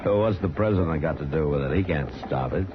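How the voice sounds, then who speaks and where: An actor playing a stern but fair American frontier marshal in an old radio western, plain-spoken and authoritative. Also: male voice, very deep voice, fast. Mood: wry. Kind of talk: 1950s radio western acting. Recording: muffled old radio recording, crowd noise.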